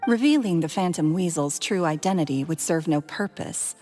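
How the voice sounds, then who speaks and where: A woman's voice: fairly low pitch, expressive, normal speed, darkish tone, natural voice. An actress playing a sweetly evasive, calculating young woman in a video game, politely mocking. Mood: coolly mocking. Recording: game audio with reverb and music.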